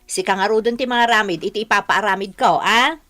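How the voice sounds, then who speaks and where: A woman's voice: mid-range voice, fairly quick, bright, forceful tone, lightly acted. A woman acting in an Ilocano radio drama as a haughty, demanding homeowner with a Filipino accent, imperious and scolding. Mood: bossy. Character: haughty, demanding woman homeowner who scorns poor neighbors.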